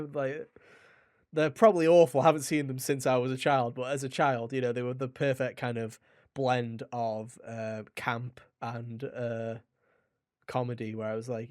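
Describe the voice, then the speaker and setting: A man's voice: medium pitch, no room sound, studio quality. Blunt, rambling young man chatting about games. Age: young adult. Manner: opinionated, blunt, swears; rambling, anecdotal storytelling. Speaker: podcast host. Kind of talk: casual gaming podcast chat.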